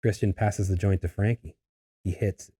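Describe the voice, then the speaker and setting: A man's voice: on the low side, steady tone, normal speed, mellow, breathy edge. A man narrating a screenplay, reading out scene headings and stage directions as scripted narration.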